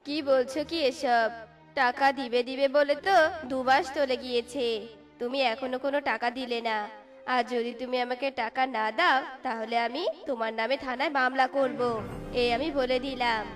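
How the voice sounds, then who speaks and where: Casting: humble cartoon husband bird, high voice, a woman doing it, music bed. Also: steady tone, a little slow. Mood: pleading. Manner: pleading, hawking cheerfully.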